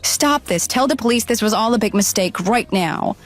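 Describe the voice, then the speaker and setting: A woman's voice: on the high side, lively intonation, normal speed, soft background music, close to an everyday voice. Flustered, defensive runaway bride, acted by a woman. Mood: panicked. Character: deceitful runaway bride, pleading, defensive and indignant. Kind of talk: dramatized phone dialogue.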